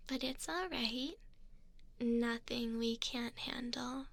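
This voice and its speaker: A woman voicing a gentle, doting female elf in a whispered ASMR roleplay, soft and breathy, tender and reassuring, close on a binaural mic. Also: on the high side, slow, no room sound. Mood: soothing, attentive. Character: two doting female elves, gentle caring ear cleaners. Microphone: close binaural ear microphone.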